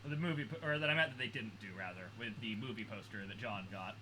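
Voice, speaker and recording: Very bright, sharp-voiced man over background noise. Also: fairly low pitch, quick pace, rough recording. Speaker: guest reactor who knows the franchise. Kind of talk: casual reaction chat, explaining as a fan.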